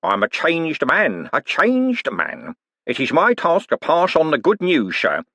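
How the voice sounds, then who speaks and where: A man's voice: a little high, huge pitch swings, a little slow, sharp tone, slightly rough, no room sound, pitched above the performer's own voice, full character voice. A man doing a wheedling con man preacher. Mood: mock-solemn. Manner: wheedling, mock-pious, folksy slang. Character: sly older male con man posing as preacher.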